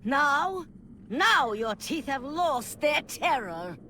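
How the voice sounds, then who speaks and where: A woman's voice: high-pitched, sing-song, slow, sharp tone, slight background noise, exaggerated voice. An actress playing a defiant, solemn rebel noblewoman, grave and commanding, in a video game cinematic. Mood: accusing, defiant. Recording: music behind the voice.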